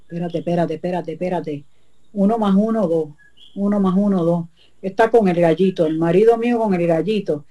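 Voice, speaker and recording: A woman's voice: on the low side, fairly quick, dark tone, muffled recording, close to an everyday voice. A woman voicing a suspicious betrayed country wife with a Puerto Rican accent, fussing and muttering to herself.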